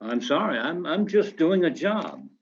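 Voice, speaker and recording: Probing investigator, lively high-ish voice. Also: male voice, measured pace, breathy edge, muffled recording, heavily acted. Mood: apologetic, evasive. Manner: probing, politely noncommittal. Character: businesslike, evasive male investigator following orders. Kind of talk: radio drama acting.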